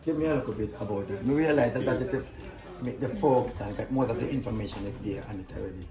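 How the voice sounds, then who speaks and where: A man's voice: mid-range voice, fast talker. A Jamaican man, a pastor, chatting casually, with many ums and "you understand?" tag questions. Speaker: pastor, host. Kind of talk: casual conversation. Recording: echoey, off-mic with music behind.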